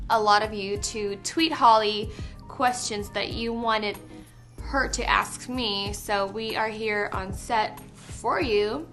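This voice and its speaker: A young woman from Canada, talking casually in an on-set interview with a bright, fairly high voice. She chats freely, giggles often and fills her pauses with ums. Light music plays faintly behind her. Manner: chatty, giggly, lots of ums.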